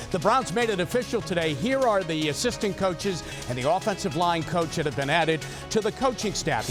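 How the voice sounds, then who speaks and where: An American man anchoring a TV sports report, delivering news and commentary in punchy, emphatic short phrases over music. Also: high voice. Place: TV studio.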